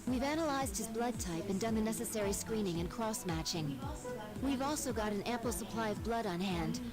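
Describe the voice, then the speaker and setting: Lady playing a quick briefing nurse in a game, kinda high voice, music loud behind. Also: expressive, lightly acted. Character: urgent young female hospital nurse.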